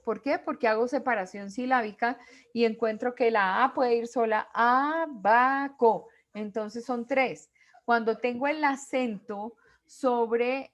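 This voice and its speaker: Woman, teacher, classroom lecture, high-ish voice, explains step by step, asks quiz questions. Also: fairly bright tone.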